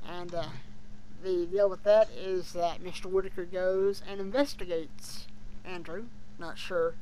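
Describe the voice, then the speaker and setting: A podcast host chatting casually about a show for fans, speaking in a halting, hesitant way. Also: mid-range voice, fairly even intonation, dark tone, rough recording. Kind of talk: casual fan podcast review chat.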